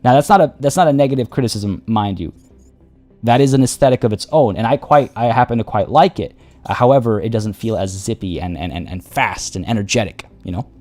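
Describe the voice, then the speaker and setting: A man's voice: on the low side, a little fast, darkish tone, soft background music. A young man streaming a game from his home setup, his voice getting worn, rambling through casual commentary with lots of fillers and restarts.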